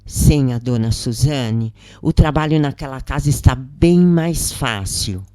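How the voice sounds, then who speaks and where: A worried, loving mother voiced by a narrator confides and pleads with her child. She speaks slowly in a dramatic audio story, recorded dry and close. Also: mid-range voice, mellow, dry recording, close to an everyday voice. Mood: reassuring. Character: worried, loving working-class mother of prisoner.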